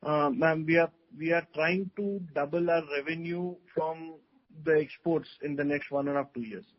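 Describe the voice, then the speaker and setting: A man speaking as a company executive on an earnings call, with deliberate, halting phrasing. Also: a little high. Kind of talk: answering questions on an earnings call. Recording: dull lo-fi conference call audio.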